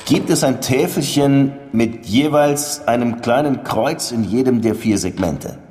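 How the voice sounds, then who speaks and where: An actor playing a world-weary, sardonic police detective who also narrates a radio drama, with a dry manner. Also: male voice, mid-range voice, a little slow, warm tone, breathy edge, soft background music. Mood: probing.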